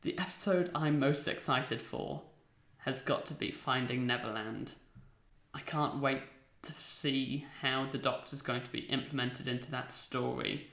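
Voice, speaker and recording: Man, creaky voice, measured interview talk, roomy echo. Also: mid-range voice, fairly even intonation, a bit bright. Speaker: amateur audio drama actor. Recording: echoey, roomy sound.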